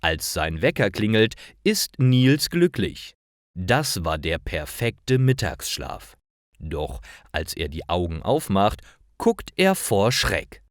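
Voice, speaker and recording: A man reading scripted short stories for language learners in a deliberate, carefully articulated and expressive way. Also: low-pitched, no room sound, clean studio sound. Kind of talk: scripted narration, slow storytelling for language learners.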